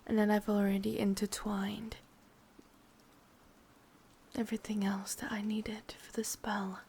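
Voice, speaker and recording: A woman with an English accent playing an ancient, possessive, sadistic witch in a whispered ASMR roleplay, slow, breathy and softly menacing. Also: mid-range voice, monotone. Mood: coaxing, controlling.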